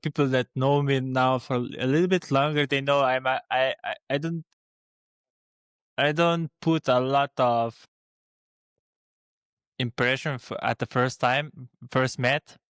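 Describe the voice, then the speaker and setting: A man's voice: mid-range voice, steady tone, measured pace, long pauses, a bit bright, clear, resonant tone, no room sound. A young man from Slovenia with a Slovene accent, co-hosting a casual podcast chat and speaking hesitantly with many restarts and fillers. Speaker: podcast co-host.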